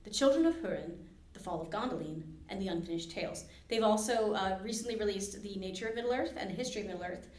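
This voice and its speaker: Woman, podcast host, chatty and casual with lots of ums, mid pitch, roomy echo. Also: expressive, fast talker, a little mellow, distant mic. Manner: chatty, many fillers and restarts. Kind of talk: casual chat with trivia quiz.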